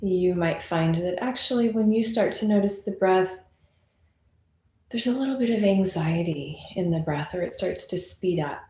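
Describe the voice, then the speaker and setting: A woman leading a guided meditation in an unhurried, conversational and reassuring manner, as a teacher would. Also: lowish voice, mellow. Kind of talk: guided meditation, gentle unscripted instruction. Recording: roomy, echoing sound.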